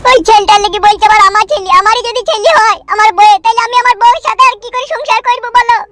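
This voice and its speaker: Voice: very high-pitched, steady tone, quick pace, pushed and bright. A performer voicing a jilted husband in a cartoon comedy, complaining in a whining lament. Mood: aggrieved, lamenting. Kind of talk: Bengali cartoon comedy dialogue.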